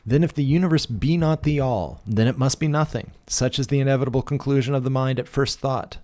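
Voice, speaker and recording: A man reading a philosophical text aloud in a deliberate, emphatic manner, leaning into rhetorical questions. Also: medium pitch, darkish tone, no echo. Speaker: audiobook reader.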